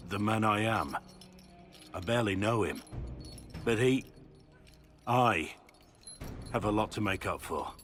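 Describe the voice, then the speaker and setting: An actor playing a disgraced middle-aged warrior in a video game, repentant and solemn, speaking gravely and haltingly. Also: male voice, on the low side, fairly bright tone, slight background noise. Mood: weary, remorseful. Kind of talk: dramatic video game acting.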